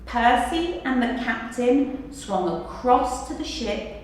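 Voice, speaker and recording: A woman reads a children's story aloud like a teacher, very slowly and expressively. Her pitch swings widely. She sounds distant in a very echoey hall with faint noise. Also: animated.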